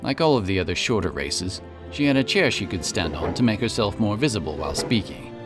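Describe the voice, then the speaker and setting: A man's voice: lowish voice, sing-song, a little fast. A man reading scripted fantasy audiobook narration, with music playing behind him.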